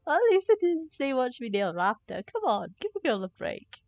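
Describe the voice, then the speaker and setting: A woman's voice: sits high, very animated, moderate pace, a little mellow, no echo, lo-fi sound, soft background music. A woman giving casual, jokey live commentary as she plays a game, reacting aloud to it. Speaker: game streamer.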